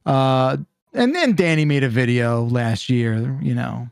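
A man's voice: medium pitch, unhurried, gravelly, clear, resonant tone, dry recording. A man hosting a podcast, chatting casually into a close microphone. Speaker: podcast host. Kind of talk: casual chat. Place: indoor room, close studio mic. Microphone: microphone on boom arm, headset.

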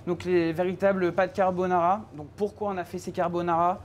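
A young man from Normandy, a culinary student explaining a recipe on a live cooking show in a teaching kitchen, chatting with many filler pauses. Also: sits high, little pitch movement, slight background noise. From Normandy, France. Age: young adult. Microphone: handheld mic.

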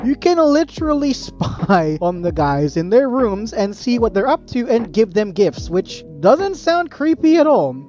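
A woman hosts a casual game review in a soft, mellow voice. Her pitch moves around a lot as she gives lightly wry opinions. The recording is slightly dull, with music behind her. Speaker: game reviewer and channel host. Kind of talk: scripted video game review narration.

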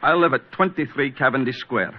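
A man's voice: mid-range voice, fairly quick, close to an everyday voice. An actor playing a frightened middle-aged man with a weak heart, pleading anxiously, in 1940s radio acting on a dull old broadcast.

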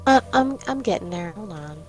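A woman's voice: mid-range voice, animated, moderate pace. A woman chatting casually as co-host of a co-op gameplay video. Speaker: co-host gamer. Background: music.

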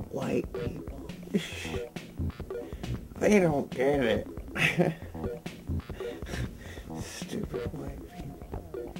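A sleepy young man lying on a floor, talking in a drowsy, rambling, deadpan way into a nearby webcam. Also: medium pitch, drawn-out words, gravelly, long pauses, over music, rough recording. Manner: drowsy, mumbling, deadpan with sudden laughs. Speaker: vlogger. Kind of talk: rambling late-night webcam monologue. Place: lying on a floor in a room indoors.

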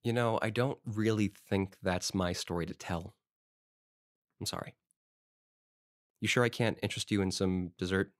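A man's voice: low-pitched, steady tone, moderate pace, long pauses, no echo, studio quality, lightly acted. An actor playing a friendly, talkative small-town man with a wistful side, in a fiction podcast. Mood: wistful, subdued. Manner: talkative, casual, folksy. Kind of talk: conversational audio drama acting.

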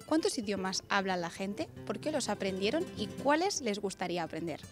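A young woman hosting a street interview outdoors, asking questions into a handheld mic in a lively, upbeat way. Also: mid-range voice, fairly quick, over music.

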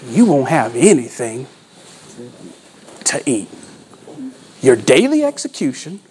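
A man in his forties giving a motivational sales training talk to an office room, with dramatic pauses and storytelling, on a lapel mic while he walks and gestures. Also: a little high, a little mellow, slight background noise. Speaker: sales coach.

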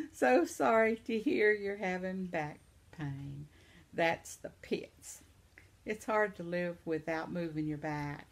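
An older woman, chatting at home to her viewers as she reads their comments aloud, sympathetic and reacting to each one. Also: fairly low pitch. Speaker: vlogger. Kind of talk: casual chat reading viewer comments. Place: living room at home, seated in armchair.

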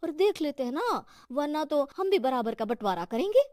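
A grasping, suspicious cartoon daughter-in-law, acted by a woman. Her voice is extremely high, with a pushy, sly manner. The sound is very dry. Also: very animated, moderate pace, darkish tone, dry recording.

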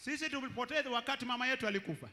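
Man, East African accent, church interpreter, very high sharp voice, emphatic bursts, big echoing hall. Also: very fast. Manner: echoes the preacher in short emphatic bursts. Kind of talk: live sermon interpreting into Swahili. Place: large church hall, on stage. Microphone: handheld microphone.

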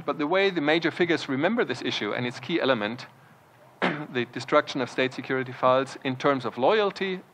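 A man reading an academic history paper aloud in a conference hall, formal, clearing his throat between phrases. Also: fairly high pitch, expressive, brisk, fairly bright tone, a little hiss or hum.

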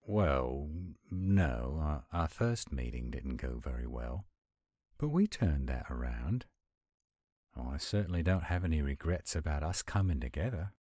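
Man playing a very deep-voiced werewolf boyfriend. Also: very animated, unhurried, darkish tone, no room sound, clean studio sound, full character voice. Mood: hopeful, affectionate. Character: affectionate, gruff-but-sweet male werewolf boyfriend. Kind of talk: romantic audio roleplay, one-sided intimate dialogue.

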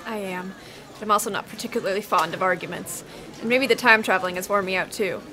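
A woman's voice: medium pitch, quick pace, sharp tone, close to an everyday voice, rough recording. A young woman playing an anxious, conflict-shy college student in an audio drama, hesitant and nervous. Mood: composed. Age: young adult. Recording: faint background noise.

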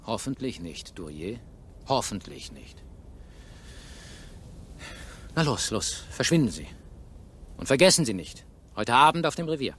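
An actor playing a shrewd, sardonic police inspector who probes and gives orders in a German radio crime play. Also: male voice, medium pitch, big pitch swings, normal speed, a bit bright, a little hiss or hum. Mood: dismissive, threatening. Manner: probing, sardonic, commanding.

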